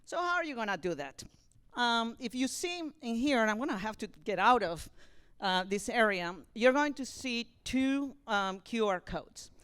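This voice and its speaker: A woman's voice: a little high, clear, resonant tone. A woman giving an informal, explanatory introduction to a theater audience, speaking to the room directly as a director would.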